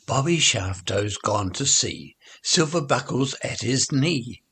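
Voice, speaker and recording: A man reciting children's nursery rhymes in an expressive, theatrical, playful way. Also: mid-range voice, husky. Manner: slow, theatrical, playful delivery.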